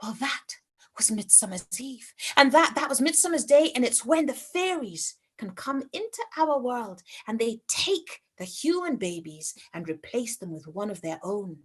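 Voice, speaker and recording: A woman voicing a kind, calm postman who speaks softly. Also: fairly high pitch, normal speed, slightly rough, full character voice. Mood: explaining. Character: kind middle-aged postman, calm and fatherly.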